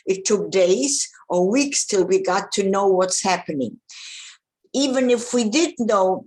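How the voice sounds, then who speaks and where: Elderly woman telling her life story. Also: mid-range voice. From Poland. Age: older. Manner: plain, direct, with restarts and asides. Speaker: Holocaust survivor speaker. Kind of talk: personal testimony talk.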